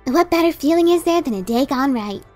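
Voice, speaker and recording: A woman's voice: sits high, lively intonation, brisk, darkish tone, soft background music. A woman voicing a sweet, bubbly, nervously cheerful pegasus mail mare in a dramatic story reading, chirpy and earnest. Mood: dreamy, excited. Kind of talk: dramatic reading of fan fiction.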